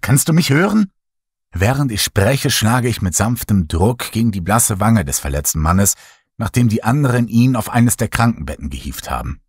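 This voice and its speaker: A man narrating a horror story in the first person, dramatic and lively. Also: fairly low pitch, moderate pace, husky, no room sound, clean studio sound. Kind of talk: horror audiobook reading.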